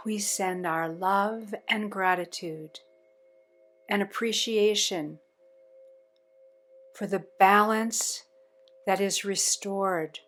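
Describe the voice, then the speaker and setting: Very slow-paced woman guiding a meditation. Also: medium pitch, a bit bright, soft background music. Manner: measured, pausing between short phrases. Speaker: meditation guide. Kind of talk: guided meditation, slow spoken phrases.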